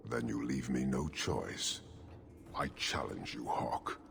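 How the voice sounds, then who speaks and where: An actor playing a stern, proud horned warrior leader in a video game, speaking formally and slowly with a commanding, gravelly manner. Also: male voice, very deep voice, noisy background, exaggerated voice. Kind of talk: video game cutscene acting.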